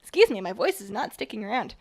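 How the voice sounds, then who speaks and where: A woman's voice: mid-range voice, very animated, fast, a little mellow. A young woman from British Columbia streaming from her home kitchen, chatting casually and rambling while she bakes and reads chat, her voice worn from a recent cold. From British Columbia, Canada. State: recovering from a cold.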